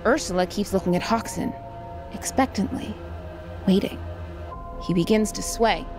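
A woman's voice: medium pitch, normal speed, over music. A woman host giving scripted dramatic narration of a story.

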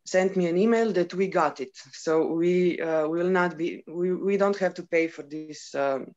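A woman's voice: lowish voice, unhurried, a little mellow, dull audio, faint music behind. A woman from Croatia, an artist, speaking on a video call panel with frequent hesitations. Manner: hesitant, plain-spoken. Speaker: performance artist.

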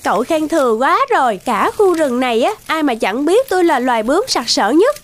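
Haughty, very lively butterfly. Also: female voice, sits high, fairly quick, a little hiss or hum. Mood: smug. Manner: haughty, dismissive. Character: haughty, vain female butterfly. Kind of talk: animated fable dialogue.

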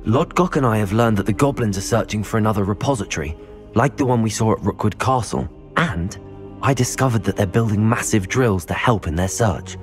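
A man acting as a level-headed, polite young student wizard hero in a video game, measured and formal. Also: fairly low pitch, lightly acted. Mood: respectful, purposeful. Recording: music behind the voice.

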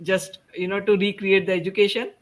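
A woman's voice: mid-range voice, little pitch movement, fairly quick. A middle-aged woman informally hosting a panel discussion on a video call, putting questions to the panelists and prompting them. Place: indoors at home, on a video call.

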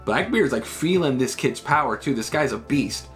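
A man's voice: medium pitch, animated, moderate pace, faint music behind. A man in his thirties talking through a comic chapter in a loose, casual way, with many fillers and "right?" tags, recorded indoors at home on a webcam setup. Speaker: manga reviewer. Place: small room at home, indoors.

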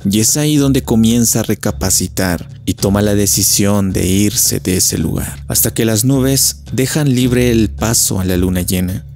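A man with a fairly low voice tells a scripted legend as narrator. Faint music plays underneath his storytelling. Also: measured pace, hardly any pauses, a little mellow.

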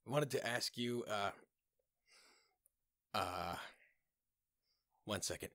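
A man playing a nervous, awkward young boyfriend, soft and hesitant, in romantic comedy style. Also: on the low side, slow pace, no echo, studio quality. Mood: nervous, tender.

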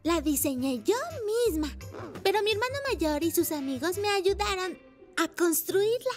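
Kid-sister motorbike: tiny, very high girl voice, headstrong and sweet, music underneath. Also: animated, moderate pace. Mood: proud. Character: headstrong little girl toddler motorcycle, sulky but sweet. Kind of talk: children's cartoon dialogue in Spanish. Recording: children's music behind the voice.

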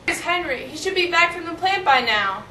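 A woman's voice: extremely high pitch, animated, moderate pace, bright, forceful tone, exaggerated voice. A young teenage girl playing a worried, tender mother in an amateur school drama. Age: young teen. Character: worried, tender mother waiting for her husband. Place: small meeting room. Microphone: far camera. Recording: roomy, distant camera mic, faint noise.

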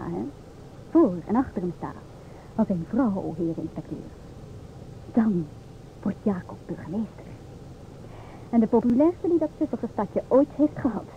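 An actress playing a combative, know-it-all young stewardess in an old radio play, with an emphatic, theatrical delivery. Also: female voice, on the high side, close to an everyday voice. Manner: emphatic, self-assured, theatrical. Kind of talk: old-time Dutch radio play acting. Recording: old radio recording, narrow tinny band, hiss.

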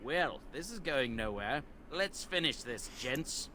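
Bandit boss for fantasy game: high, sharp, oily and cowardly, faint ambience behind. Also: male voice, lively intonation, slow, a little hiss or hum, exaggerated voice. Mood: smug, mocking. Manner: mock-polite, smooth-talking. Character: smarmy bandit leader running a toll, cowardly when beaten.

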